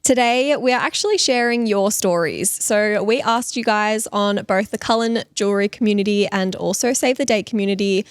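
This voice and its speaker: A woman's voice: mid-range voice, normal speed, few pauses, clean tone, no room sound, studio quality. A woman co-hosting a podcast, chatting and reading listener stories aloud. Her voice is partly lost, and she laughs often. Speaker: podcast co-host.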